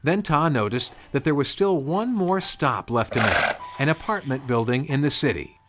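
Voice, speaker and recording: A man's voice: mid-range voice, lively intonation, normal speed, heavy background noise. A man reading a scripted children's storybook narration. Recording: music behind the voice. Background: background music.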